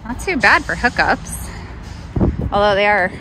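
A woman's voice: mid-range voice, lively intonation, a little slow, over music, low-quality recording. A young woman chatting casually for a travel vlog, upbeat and explaining things as she goes. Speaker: RV travel vlogger. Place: outdoors at an RV park, then inside a pickup truck.

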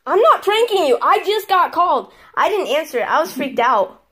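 Teenage female, high voice, giggly and excitable, casual vlog chat. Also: normal speed. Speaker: vlogger filming prank calls with friends. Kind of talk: casual chat among friends. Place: small bedroom, indoors. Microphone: handheld phone camera.

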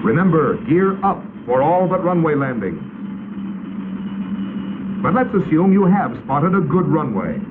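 An American man narrating a 1950s instructional film in a crisp, authoritative, instructional manner. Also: fairly high pitch, big pitch swings, measured pace, long pauses. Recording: tinny, noisy 1950s film soundtrack.

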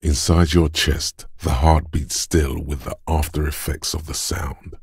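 A man playing a gruff, domineering inner voice of brute strength in a video game, blunt and deliberate in delivery. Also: level tone, no room sound, studio quality, full character voice. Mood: measured, brooding. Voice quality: gravelly, husky bass. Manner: blunt, domineering gym-coach goading mixed with slow literary narration. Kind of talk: video game voice acting, scripted narration.

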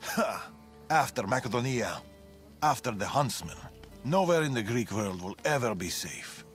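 Grave, hunted fugitive over game music. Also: male voice, medium pitch, animated, fairly bright tone. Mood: grave, wary. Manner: grave, guarded, deliberate. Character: hunted, world-weary, secretive male fugitive in ancient Greece. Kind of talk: video game cutscene dialogue. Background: background music.

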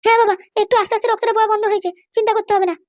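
A man voicing a caring cartoon aunt who coaxes and reassures in a squeaky voice. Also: flat delivery, very fast, no room sound, studio quality. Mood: soothing. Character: caring, soothing cartoon aunt tending the wounded. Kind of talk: amateur comedy cartoon dubbing.

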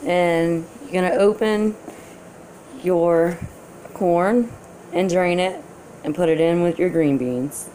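A woman's voice: lowish voice, slow, long pauses, warm tone, slight background noise. A young woman, chatting casually as she walks through a cooking video in her home kitchen, recorded by a camera a few feet away. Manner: chatty, friendly, instructive with small restarts. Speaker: cooking vlogger. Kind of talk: casual cook-along talk to camera. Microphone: camera on counter a few feet away.

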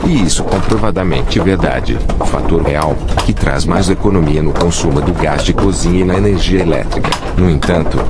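A man giving kitchen safety tips in a steady, instructive scripted voice-over. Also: deep voice, noisy background.

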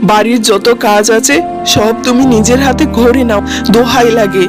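Cartoon young working man, voiced by a woman: higher-pitched, earnest, pleading, gently reproachful. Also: steady tone, moderate pace, loud music behind the voice, natural voice. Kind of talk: cartoon drama acting.

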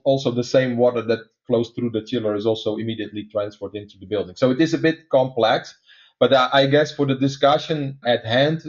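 A man with a Dutch accent walks through a technical topic in a measured, conversational way, often pausing on "uh". His pitch sits in an ordinary middle range. The recording sounds slightly dull and lo-fi. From Netherlands. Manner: many "uh" fillers, measured explaining. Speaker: guest expert.

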